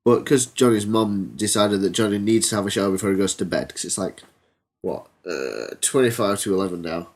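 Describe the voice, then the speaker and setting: A man's voice: fairly low pitch, fairly quick, a little mellow. A teenage boy hosting a homemade comedy podcast, congested from a cold, telling an absurd story and chatting with hesitant, rambling ums. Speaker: podcast host. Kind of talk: comedy storytelling and casual chat.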